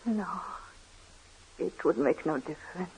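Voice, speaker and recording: A woman's voice: sits low, lively intonation, measured pace, warm tone, slight background noise, rough recording. An actress playing a sorrowful young woman haunted by death, speaking mournfully in an old radio drama. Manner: mournful, anxious. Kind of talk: 1940s radio acting.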